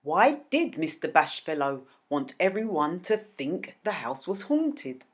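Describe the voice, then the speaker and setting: A woman presenting a quiz for children as host, speaking deliberately and expressively to young viewers. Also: deep voice, big pitch swings, breathy edge. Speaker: host of children's read-aloud videos.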